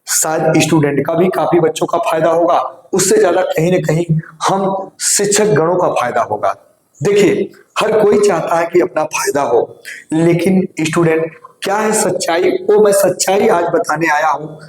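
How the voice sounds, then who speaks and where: A man who teaches exam coaching gives students a news update in an earnest voice. He sounds slightly hoarse and keeps urging them to listen carefully. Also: on the high side, a little mellow. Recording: roomy echo.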